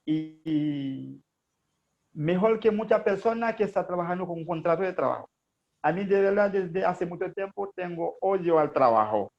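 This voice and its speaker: Haitian man pitching a business, earnest and hesitant, raspy fry in a high voice, muffled recording. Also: expressive, moderate pace. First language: Haitian Creole. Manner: earnest, hesitant with frequent fillers. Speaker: network marketing presenter. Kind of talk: motivational business presentation.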